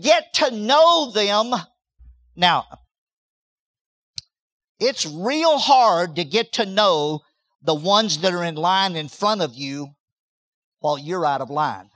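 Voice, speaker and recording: Older pastor preaching, high bright voice, gets loud and punchy in bursts. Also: male voice. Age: 60s. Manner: emphatic preaching with repeated words and rising bursts. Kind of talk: sermon.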